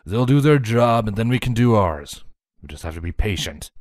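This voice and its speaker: A man voicing a devoted pony soldier husband in an audio story, earnest and expressive. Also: on the low side, moderate pace, dry recording, studio quality, lightly acted. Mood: calm, amused. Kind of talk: audio story character reading.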